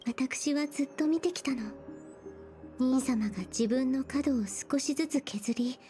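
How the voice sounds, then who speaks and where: A woman plays a resolute young sister in an anime game. Her high voice is poised and earnest, sometimes breathy, with music clearly behind it. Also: a little fast, close to an everyday voice. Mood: firm indignation. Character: gentle-spoken but resolute young woman, protective sister. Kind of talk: Japanese anime-style game acting.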